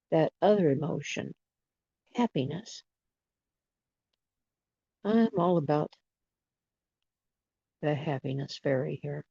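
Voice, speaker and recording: A person leading a guided imagery meditation in a soothing manner, with long pauses between short phrases. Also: medium pitch, creaky, warm tone, husky, dry recording. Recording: dull, dry lo-fi recording.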